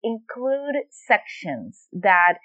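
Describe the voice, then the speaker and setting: A woman's voice: mid-range voice, very animated, extremely slow, fairly bright tone, dry recording. Woman consultant from Venezuela, podcast guest; thoughtful, restarts often; muffled, dead-dry sound. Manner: thoughtful, explanatory, with frequent fillers and restarts. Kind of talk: podcast interview answers.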